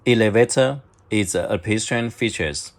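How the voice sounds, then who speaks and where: A man narrating step-by-step instructions in halting, short clipped phrases, as a product demonstrator. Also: fairly low pitch. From China.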